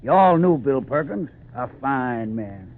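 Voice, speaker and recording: An actor playing a bullying American Western mob leader in folksy, commanding 1940s radio style. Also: male voice, medium pitch, very animated, a little slow. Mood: rabble-rousing. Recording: noisy, tinny 1940s radio recording.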